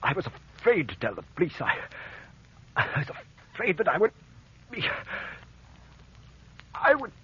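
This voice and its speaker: An actor playing a guilty, frightened man, defensive and halting, in 1940s radio mystery style. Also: sits high, wildly swooping pitch, bright, forceful tone, breathy edge, exaggerated voice. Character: guilty, frightened man hiding a deadly wager. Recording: dull old-time radio recording.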